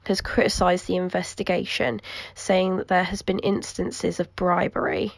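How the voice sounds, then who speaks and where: A young woman chats through a true crime story, her voice gravelly with vocal fry as she recovers from the flu. She fills her talk with ums and keeps an unhurried pace. The sound is close and dry, with no room echo. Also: on the low side, flat delivery. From United Kingdom. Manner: frequent ums, soft and unhurried. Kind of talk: casual true crime vlog while colouring.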